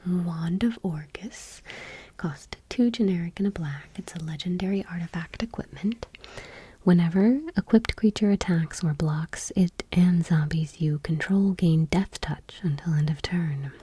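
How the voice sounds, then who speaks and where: A woman softly reading aloud for ASMR in a breathy, whispery voice with a hushed, gentle delivery. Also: on the low side, a little slow, a little hiss or hum. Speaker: ASMR video host.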